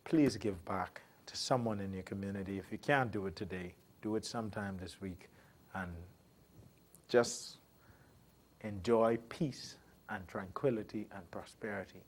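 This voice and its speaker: A man's voice: fairly low pitch, unhurried, slight background noise. A man, hosting a show and talking in an emphatic, chatty way that repeats phrases for stress. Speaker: show host. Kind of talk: casual spoken commentary.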